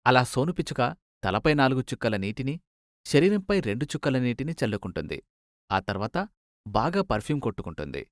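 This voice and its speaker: A man's voice: mid-range voice, very animated, a little fast, dry recording, studio quality. A man narrating a scripted children's cartoon story as its storyteller.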